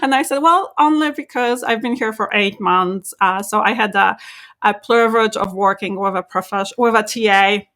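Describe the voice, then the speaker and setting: A woman in her forties with a bright, forceful voice, recounting her life as a podcast guest. She tells her story in an animated way, laughing often and filling pauses with uhs. Her pitch sits in the middle for a woman. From Poland. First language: Polish. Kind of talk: casual interview, life story.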